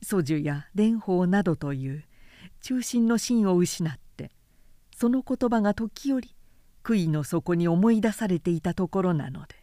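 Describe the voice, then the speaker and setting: Female literary narrator: measured, articulate, warm dark tone, very dry close sound. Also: lowish voice, animated, no room sound. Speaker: professional narrator. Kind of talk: scripted literary audiobook narration.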